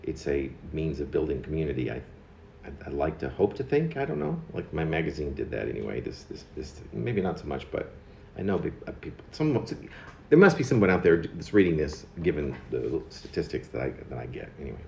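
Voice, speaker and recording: A man in his fifties reading his own confessional poem aloud, in a halting way with pauses mid-phrase and stressed words. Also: rumbling low, big pitch swings, gravelly, a little mellow, slight background noise. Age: 50s. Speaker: poet. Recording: dull lo-fi recording in echoey room.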